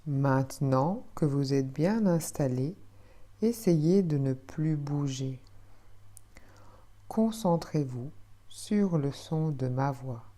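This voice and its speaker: A woman teaching yoga nidra, guiding a relaxation meditation in a soothing, hushed, measured manner with gently repeated phrases. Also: low voice, animated.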